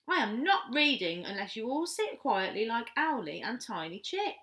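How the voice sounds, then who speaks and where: A woman's voice: on the high side, big pitch swings, moderate pace, bright, forceful tone. A woman voicing a small, fussy baby owl boy in a children's story, indignant and whiny. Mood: upset.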